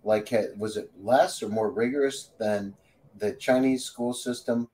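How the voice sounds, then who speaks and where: A man hosts an interview in a chatty, storytelling way, drifting into personal anecdotes before asking his guest questions. His voice is warm and mellow at a middle pitch. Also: steady tone, measured pace, low-quality recording. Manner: chatty, storytelling, friendly asides. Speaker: interview host. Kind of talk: casual interview conversation.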